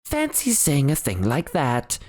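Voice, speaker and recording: A man voicing a deferential, anxious male butler in a high, fluttery, shocked voice for an audiobook reading. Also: wildly swooping pitch, measured pace, a little mellow, exaggerated voice. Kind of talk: audiobook character voice.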